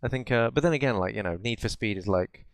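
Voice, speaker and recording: A man's voice: medium pitch, fast talker, vocal fry, smooth, dry recording. A man chatting casually as a podcast co-host, opinionated and informal, with plenty of swearing. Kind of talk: casual chat about films and games.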